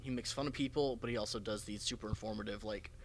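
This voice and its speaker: A man's voice: on the low side, steady tone, fast talker, slight background noise. A young American man co-hosting a podcast, chatting casually and bluntly with frequent swearing. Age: young adult. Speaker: podcast co-host. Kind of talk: casual group chat.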